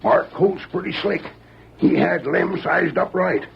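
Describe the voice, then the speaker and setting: A folksy frontier lawman with a Western drawl and a very gravelly, highish voice. It is radio western acting on a dull recording with faint noise. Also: unhurried, exaggerated voice. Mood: rueful, doubtful. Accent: American Western drawl. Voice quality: gravelly, creaky. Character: gruff, folksy ousted western sheriff. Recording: muffled, slightly noisy old radio recording.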